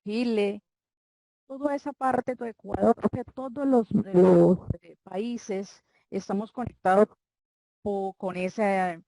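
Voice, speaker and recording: An older woman from Colombia with a Santander accent, a guest author chatting in an interview, talking warmly and rambling with frequent restarts. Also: mid-range voice, takes it slowly, creaky, no echo, rough recording. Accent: Colombian Spanish, Santander. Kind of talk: casual interview chat.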